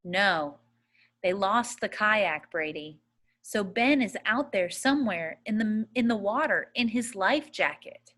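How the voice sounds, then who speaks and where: A woman's voice: mid-range voice, animated, slow pace, fairly bright tone. A woman voicing a worried, caring mother in a storybook reading, concerned and serious. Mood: grave, concerned. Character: worried, caring mother giving grave news.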